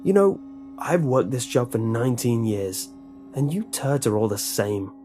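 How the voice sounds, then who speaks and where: A man's voice: medium pitch, expressive, normal speed, warm tone, soft background music, natural voice. A man voicing a bitter, menacing female school lunch lady who speaks in clipped, broken English with a put-on accent. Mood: resentful. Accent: put-on foreign accent. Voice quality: clipped, resentful, broken grammar.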